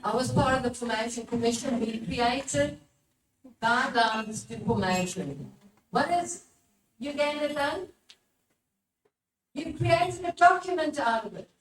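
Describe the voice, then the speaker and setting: A woman's voice: on the high side, animated, measured pace, long pauses. A woman presenting a workshop talk to a live audience, urging listeners on and putting questions to them. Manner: exhortatory, puts questions to the listeners. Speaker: workshop presenter, religious formator. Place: echoey room at a live workshop. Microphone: picked up from a distance. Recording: echoey room, distant mic, background noise.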